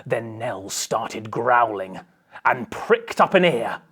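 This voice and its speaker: A woman's voice: very deep voice, very animated, slow pace, a bit bright, breathy edge. A woman reading a children's picture book aloud with theatrical, dramatic emphasis. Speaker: storybook reader.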